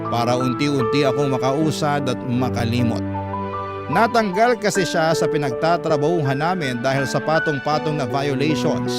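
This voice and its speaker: A man with a Filipino accent reading a listener's letter as dramatic radio storytelling narration. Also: medium pitch. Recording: loud music under the voice. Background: prominent background music.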